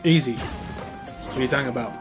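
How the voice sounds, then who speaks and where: A man's voice: medium pitch, moderate pace. A man giving casual gameplay commentary, offhand and teasing, often repeating himself as he plays. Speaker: gamer playing and streaming. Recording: game music behind the voice.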